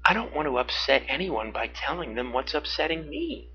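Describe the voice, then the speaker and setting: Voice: medium pitch, very animated, normal speed, muffled recording, a little hiss or hum, pitched above the performer's own voice. A narrator voicing a shy, tender little girl in a confiding storybook manner. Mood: hesitant. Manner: confiding, girlish. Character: shy, tender little girl who adores her daddy.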